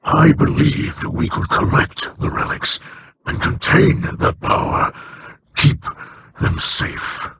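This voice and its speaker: An actor playing an aging male alien pirate chieftain, remorseful and guarded, speaking in a slow, halting, formal way with a breathy, hissing rasp. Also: medium pitch, a bit bright.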